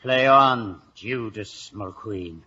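An actor playing a gruff, stubborn older Irish police sergeant who blusters and sputters in vintage radio drama style. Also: male voice, lowish voice, slow, sharp tone, a little hiss or hum. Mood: stern, suspicious. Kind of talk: 1940s-50s radio acting. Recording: dull old radio recording.

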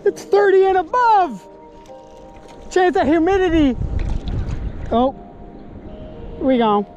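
A young man fly fishing outdoors while wading in a river, excitedly commenting on each catch into a body-worn action camera. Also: very high-pitched, slow pace, highs cut off, soft background music. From Canada. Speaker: fly-fishing angler and host. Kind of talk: casual outdoor vlog commentary.

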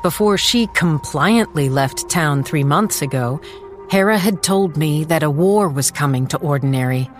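A woman's voice: sits low, measured pace, soft background music. A woman playing a determined, wry small-town police chief who narrates a dramatized audiobook in a firm, dry way. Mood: guarded, reflective. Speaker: narrator and lead character.

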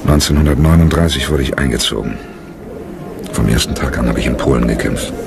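An actor playing an embittered, haunted and defiant soldier turned theatre leader in a radio play. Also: male voice, a bass voice, brisk, gravelly, loud music behind the voice. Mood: bitter recollection. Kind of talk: German radio play acting.

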